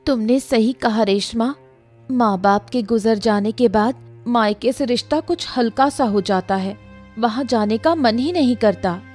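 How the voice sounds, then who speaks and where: A woman's voice: a little high, unhurried, darkish tone, soft background music. A woman playing a curious, sympathetic older neighbour in an acted story, gossipy and inquisitive. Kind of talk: acted Hindi audio story dialogue.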